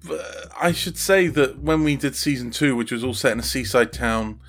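Male interview guest, mid-range voice. Casual, conversational, halting delivery of someone working out his thoughts as he speaks. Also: mid-range voice, fairly bright tone. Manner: halting, thinking aloud. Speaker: audio drama creator interviewed as guest.

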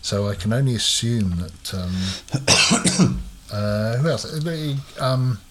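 A man's voice: medium pitch, animated, unhurried, background noise. A man hosting a casual chat, quizzing his co-host with lots of hesitant fillers.

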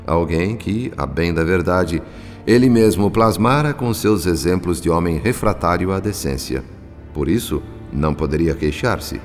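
A man's voice: low-pitched, animated, unhurried. A man acting as the grave, moralizing narrator of a radio drama, with a Brazilian accent and literary, theatrical delivery. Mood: grave, disapproving. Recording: long reverb, faint music behind. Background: faint music.

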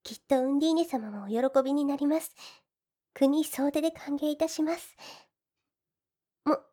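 A woman voicing a gentle, devoted slime woman in an ASMR fantasy audio drama, speaking politely and a little shyly. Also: high voice, moderate pace, natural voice. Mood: polite, awed, then shy, flustered. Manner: soft, polite, deferential, shyly hesitant. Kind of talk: Japanese ASMR situation voice drama.

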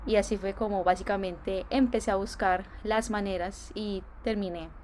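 A young woman from Colombia with a Colombian accent, answering interview questions in a thoughtful way with many filler pauses. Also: medium pitch, fairly even intonation, darkish tone, slight background noise. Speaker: interview guest.

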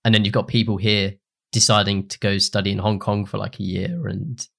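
A man hosting a casual podcast in a slightly low voice. He asks easygoing, friendly questions and laughs along with his guest. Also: level tone, fairly quick, vocal fry, fairly bright tone. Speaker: podcast host. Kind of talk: casual interview.

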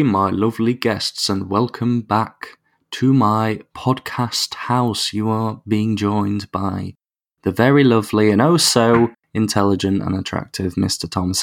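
A man hosting a podcast in a casual, jokey way, sometimes stumbling over his words. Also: fairly low pitch. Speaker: podcast host.

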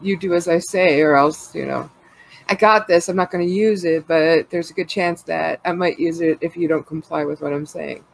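A Canadian woman talks openly in a loose, rambling way, full of fillers and quick laughs. Her voice sounds stuffed up from a cold, with a gravelly fry running under it. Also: lowish voice, steady tone, a little hiss or hum, rough recording. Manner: rambling and candid, laughs often, many fillers. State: congested with a cold. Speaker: podcast co-host. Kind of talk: casual personal chat.

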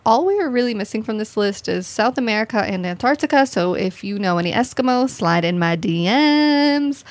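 A woman's voice: fairly high pitch, dry recording. A woman hosting a podcast in a playful, jokey way, with theatrical swings in her voice as she chats. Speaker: podcast host. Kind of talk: casual chat.

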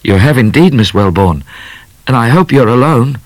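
Man playing an earnest, excitable bushranger, lively pitch. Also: moderate pace, no echo, lightly acted, low-quality recording. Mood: gallant. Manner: earnest, exclamatory. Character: bashful, gallant male bushranger, earnest and excitable.

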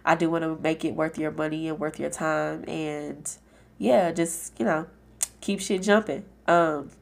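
A woman hosting her own podcast, chatting casually and frankly with lots of fillers, her voice hoarse, raspy and nasal from a cold. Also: low voice, steady tone, measured pace. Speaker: podcast host. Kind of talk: casual solo podcast chat.

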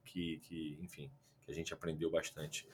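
A man's voice: very deep voice, little pitch movement, moderate pace, slight background noise. A young man with a Carioca accent, a guest on a remote podcast call, talking casually and rambling with many fillers and restarts. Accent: Carioca Portuguese. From Rio de Janeiro, Brazil. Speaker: podcast guest, football content creator.

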